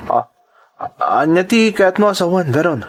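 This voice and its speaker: A man's voice: sits high, expressive, moderate pace. A man playing a meek, bewildered newly arrived male worker in a radio drama, hesitant and questioning. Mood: puzzled.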